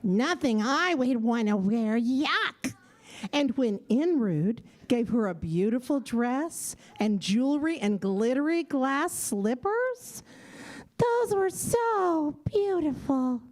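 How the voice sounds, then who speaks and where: A woman with a very high, swooping voice co-presents a scripted show for children. She speaks slowly, with playful, theatrical questions. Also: animated, a little mellow. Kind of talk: scripted stage patter for children. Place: live event at a public library.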